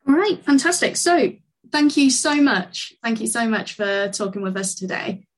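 A woman's voice: fairly high pitch, expressive, moderate pace. A woman leading a podcast book discussion, chatty and friendly. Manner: friendly, chatty, laughs easily. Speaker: podcast interviewer. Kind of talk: casual podcast chat.

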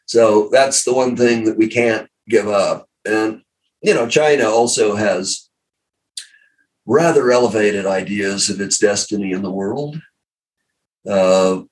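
Low-ish guy sounding kinda far from the mic, lots of uh and you know. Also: unhurried. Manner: hesitant, many fillers and restarts. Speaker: guest commentator. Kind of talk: interview discussion.

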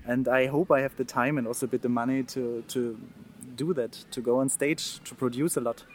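Guest, German-accented man, thirties, earnest and halting, faint traffic noise behind. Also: medium pitch, steady tone. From East Germany, Saxony. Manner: earnest, restarts words, laughs often. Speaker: guest, mime artist. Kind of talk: casual interview.

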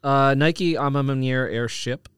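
A man's voice: medium pitch, measured pace, fairly bright tone, smooth, dry recording, studio quality. A man chatting casually on a podcast panel in a plainspoken, matter-of-fact way. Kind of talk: casual chat.

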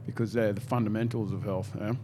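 A man's voice: fairly low pitch, little pitch movement, quick pace, warm tone, slight background noise. A man running a gym, talking casually and swearing freely on a podcast.

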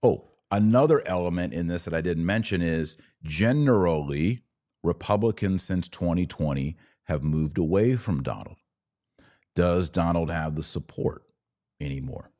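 A man's voice: low-pitched, animated, measured pace, warm tone, dry recording, clean studio sound. A man hosting a podcast livestream, conversationally reading out viewer comments and responding to them. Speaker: podcast host. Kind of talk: casual live-stream commentary, reading viewer comments aloud.

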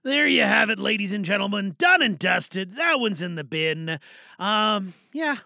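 A man's voice: high-pitched, animated, measured pace, vocal fry, pushed and bright, no echo, clean studio sound. A man casually hosting a podcast with playful showman patter, often thinking aloud. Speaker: host and monologue performer.

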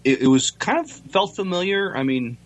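A man co-hosting a podcast in casual chat, speaking in a hesitant, halting way. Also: high voice, sing-song. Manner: hesitant, halting, repeats words. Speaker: podcast co-host.

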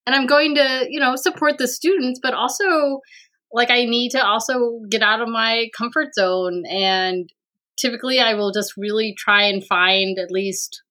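A woman's voice: a little high, normal speed, bright, forceful tone. A woman speaking as a podcast guest in a casual conversation, hesitant and full of fillers and restarts. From Vietnam. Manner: hesitant, many fillers and restarts, laughs often. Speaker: podcast guest, university administrator.

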